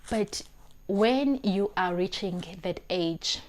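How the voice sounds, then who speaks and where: A woman's voice: medium pitch, slow. A South African woman in her twenties giving life advice to camera, earnest and emphatic, often repeating words as she searches for the next one, on a lapel mic in a small room. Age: 20s. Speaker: vlogger. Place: small indoor room, plain wall.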